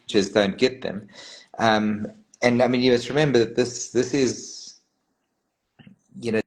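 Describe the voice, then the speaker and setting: A man's voice: medium pitch, steady tone, unhurried, low-quality recording. A man from South Africa, a meadmaker, talking casually on a video call, rambling with many restarts and "you know". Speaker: meadmaker guest. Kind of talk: casual online panel chat.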